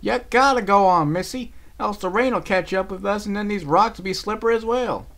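A man's voice: high voice, animated, brisk, fairly bright tone, voice pushed up. A man voicing a plucky, no-nonsense female earth pony with a put-on rural Southern drawl and a folksy, blunt manner. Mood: impatient.

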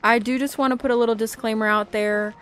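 A woman's voice: on the high side, steady tone, fast, fairly bright tone. A young woman giving casual, chatty let's play commentary as a gaming host, sounding tired.

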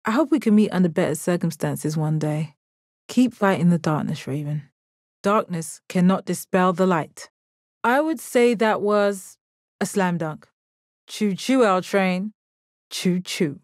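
A woman playing a stoic, confident, commanding gem warrior in a video game, with a measured, deadpan delivery. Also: on the low side, dry recording, clean studio sound, natural voice. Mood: calm, triumphant. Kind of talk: video game character battle lines.

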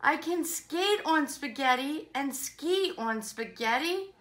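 An excitable, stubborn girl monkey, acted out by a woman for a children's storybook. The voice is high and forceful, swinging up in singsong bursts. She gushes greedily and stresses her words hard. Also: slow pace, a little hiss or hum, full character voice. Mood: gleeful. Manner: gushing, emphatic, singsong. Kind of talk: children's storybook read-aloud.

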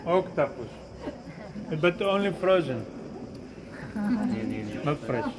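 A man in his thirties guides a boat through a cave lake, speaking English with a Greek accent in short, plain, joking lines. His voice sits high for a man. A passenger's phone picks him up with clear background noise around him. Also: expressive, slow. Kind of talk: casual tour guiding. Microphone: phone held by a passenger.

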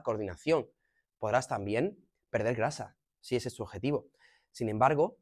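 A man in his thirties with an accent from Spain, a fitness coach giving measured, didactic advice straight to the viewer. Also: mid-range voice, a little mellow. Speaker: fitness coach presenting a lesson. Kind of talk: explanatory advice to camera. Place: gym room, indoors. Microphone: clip-on lapel mic.

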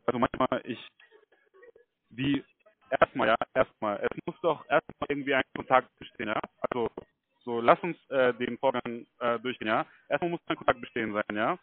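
Halting man on a tinny phone line. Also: medium pitch. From Germany. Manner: halting, repetitive, insistent checking tags. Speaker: call center recruiter. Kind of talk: casual phone conversation. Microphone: telephone.

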